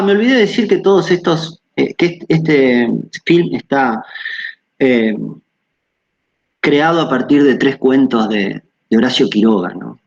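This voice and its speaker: A man's voice: mid-range voice, lo-fi sound. A man giving an academic lecture over a video call, hesitant, with many fillers and tag questions.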